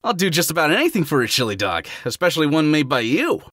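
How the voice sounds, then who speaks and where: A man's voice: fairly high pitch, big pitch swings, fast, a bit bright, no room sound, clean studio sound. A man voicing an eager, friendly young male hedgehog in a fan audio drama, easygoing and upbeat.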